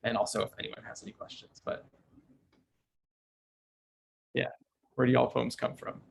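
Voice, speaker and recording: A man casually hosting a discussion on a video call in an informal, halting way. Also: mid-range voice, flat delivery, creaky, slightly rough. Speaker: host and poet moderating a reading. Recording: faint noise on video call.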